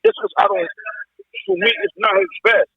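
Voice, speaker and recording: A man's voice: extremely high pitch, very animated, normal speed, gravelly. A man in casual chat with a blunt, profane way of talking. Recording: dull lo-fi call audio.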